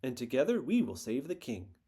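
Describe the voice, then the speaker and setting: A man's voice: mid-range voice, big pitch swings, a little fast, warm tone, breathy edge. A man voicing an eager young boy turtle in a storybook read-aloud, raising his voice to sound boyish. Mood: excited. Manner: raised, boyish voice.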